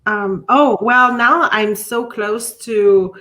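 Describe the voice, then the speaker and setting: Lady, talks slow, lots of ums, video call. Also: fairly high pitch, sharp tone. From Quebec, Canada. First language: French. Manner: slow, hesitant, frequent ums and restarts. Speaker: art teacher and coach. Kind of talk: interview answers.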